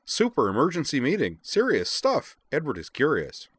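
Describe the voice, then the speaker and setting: A man voicing a high, exuberant eccentric hacker girl. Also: huge pitch swings, moderate pace, fairly bright tone, slightly rough, no room sound, heavily acted. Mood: cheery, excited. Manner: bouncy, blunt, grandiose. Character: eccentric exuberant young hacker girl, speaks in third person.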